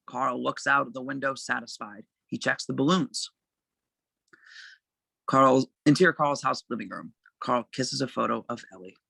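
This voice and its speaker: Casting: male narrator for script directions, crisp bright tone with some fry, dry sound. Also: mid-range voice, dry recording, rough recording. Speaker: narrator reading stage directions. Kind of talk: scripted narration at an amateur table read.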